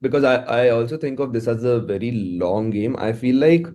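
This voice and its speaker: A man's voice: medium pitch, moderate pace, mellow. A man with an Indian English accent, talking casually as an interviewed musician, often repeating words as he thinks.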